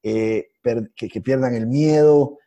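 A man co-hosting a podcast, telling stories in a halting way with many restarts and fillers. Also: mid-range voice, mellow, lo-fi sound. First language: Spanish. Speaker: podcast co-host, IT instructor. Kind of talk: casual podcast conversation.

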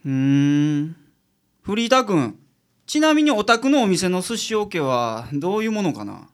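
ラジオドラマで、女性が関西弁のぶっきらぼうで頭の切れる男性探偵を芝居がかった調子で演じている。. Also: female voice, on the low side, very animated, a little slow. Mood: brisk, commanding. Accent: Kansai. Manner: brusque, theatrical deductions. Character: gruff, shrewd adult male private detective. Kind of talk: community radio drama acting.

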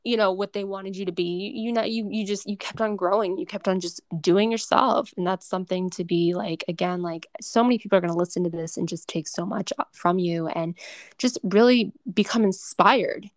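A woman's voice: mid-range voice, normal speed, no room sound, clean studio sound. A young American woman with at most a faint Massachusetts accent, hosting a casual chat in an effusive, encouraging, informal way. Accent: American, only faintly Massachusetts. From United States, Massachusetts. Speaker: podcast host.

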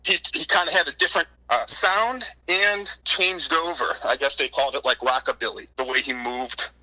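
Male interview guest, middle-aged, very bright forward tone, conversational and wordy storyteller. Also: a little high, moderate pace, rough recording. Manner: wordy, informal, repeats words and says "you know" often. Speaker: interview guest, book co-author. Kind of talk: conversational interview answers, telling anecdotes.

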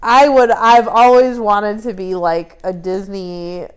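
A young woman co-hosting a casual podcast chat, relaxed and full of "like" fillers. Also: mid-range voice, slow, clear, resonant tone, rough recording. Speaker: podcast co-host.

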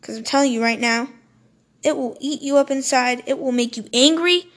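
A woman's voice: high voice, normal speed. A young woman in her teens hosting a solo podcast, talking earnestly and rambling, stumbling over words and swearing in self-mocking asides. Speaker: podcast host. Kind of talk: solo motivational podcast monologue.

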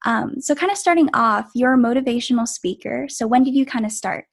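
A woman's voice: fairly high pitch, brisk, creaky. A young woman hosting a podcast, upbeat, filling her questions with "um" and "kind of". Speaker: podcast host. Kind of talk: scripted intro, then casual interview.

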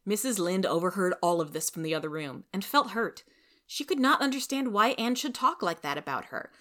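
A woman's voice: medium pitch, expressive, fairly quick, pushed and bright. A woman reading scripted storybook narration expressively, as a podcast story reader.

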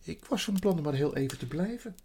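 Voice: medium pitch, expressive, fast talker, a little mellow, slightly rough, voice pushed up. A storybook reader voicing a kind, anxious, outspoken little girl in an earnest, pleading way.

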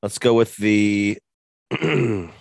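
A man's voice: lowish voice, drawn-out words, gravelly, no echo, clean studio sound. A man co-hosting a sports betting show in loose, profane, joking banter. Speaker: podcast co-host. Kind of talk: casual sports betting chat.

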